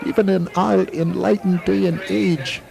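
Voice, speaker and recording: An actor playing a formal, secretive, eccentric physics professor with courtly, pedantic phrasing in a 1980s audio drama. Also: male voice, high voice, fairly even intonation, moderate pace, vocal fry, music in the background. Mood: lecturing, pleased. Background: racetrack crowd.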